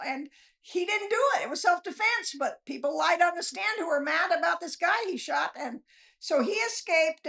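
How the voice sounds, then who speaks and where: Female author guest, very high pitch, unpolished, self-deprecating, conversational storyteller. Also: gravelly, fairly bright tone. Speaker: author being interviewed, guest. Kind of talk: casual, rambling storytelling chat.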